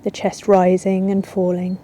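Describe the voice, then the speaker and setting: A woman yoga teacher guiding a relaxation in a soothing, unhurried way with long pauses. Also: lowish voice, completely flat delivery, mellow. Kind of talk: guided yoga nidra relaxation. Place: outdoors in a garden. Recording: outdoor ambience with birdsong. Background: birds and garden ambience.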